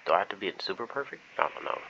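A man's voice: lowish voice, animated, rapid-fire, creaky, bright, forceful tone, dull audio, slight background noise. A young man giving casual, off-the-cuff gameplay commentary as a gaming YouTuber.

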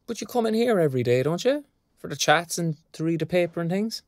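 A man's voice: on the high side, very animated, quick pace, no room sound, clean studio sound. An actor playing a kindly, chatty young graduate, a man with an Irish accent and a colloquial, concerned manner in a naturalistic audio drama. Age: young adult.

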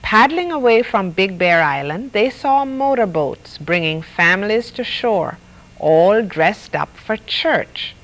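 Slow, lilting woman reading a storybook aloud. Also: mid-range voice, rough recording. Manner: expressive, acts out characters' lines. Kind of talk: children's storybook read-aloud. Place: studio set dressed as a reading nook with stuffed toys.

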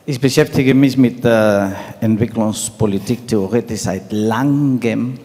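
A middle-aged man from Peru with a Peruvian Spanish accent, making a deliberate, halting comment from the audience. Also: medium pitch, mellow. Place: large wood-panelled conference hall. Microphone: handheld microphone. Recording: long hall reverb.

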